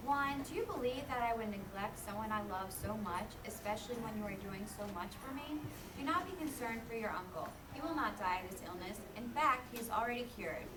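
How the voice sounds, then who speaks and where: Motherly holy lady for a puppet show, acted by a woman: serene, gentle, highish, far from mic. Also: expressive, a little fast, natural voice. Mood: gently reassuring. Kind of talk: children's puppet show acting. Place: live performance in a room, far mic. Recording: distant, echoey room with loud noise.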